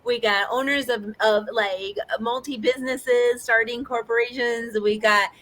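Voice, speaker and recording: A woman hosting a video call panel, talking in a lively, enthusiastic way. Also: on the high side, unhurried. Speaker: host and moderator. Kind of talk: casual panel chat.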